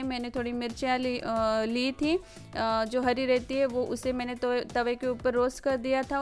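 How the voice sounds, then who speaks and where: A woman narrates like a home cooking vlogger. She explains things casually and a little hesitantly, repeating words as she goes. Faint music plays behind her. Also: sits high. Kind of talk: casual recipe voice-over narration. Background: background music.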